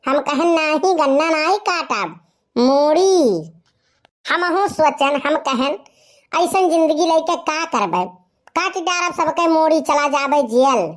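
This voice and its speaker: Someone voicing a high-pitched boastful cartoon village lad. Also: expressive, slow pace. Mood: defiant. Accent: eastern Uttar Pradesh Awadhi. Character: boastful young village slacker Kallu, male cartoon character. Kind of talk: comic first-person storytelling for a cartoon.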